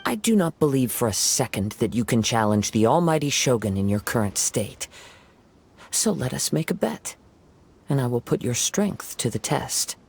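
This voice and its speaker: An actor voicing a calm, perceptive young wandering samurai in a video game, with a measured, soothing delivery. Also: male voice, mid-range voice, gravelly, husky, exaggerated voice.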